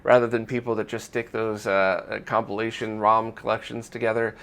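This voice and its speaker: A man's voice: lowish voice, little pitch movement, moderate pace, creaky, fairly bright tone. A man hosting a news roundup, explaining updates to viewers in a conversational, semi-scripted way. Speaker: host of a retro gaming news roundup.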